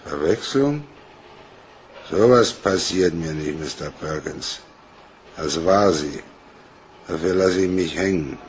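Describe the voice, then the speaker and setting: A sly fat cop in a radio crime play, drawling slow, needling, faint noise. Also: male voice, sits low. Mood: sly, insinuating. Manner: deliberate, insinuating.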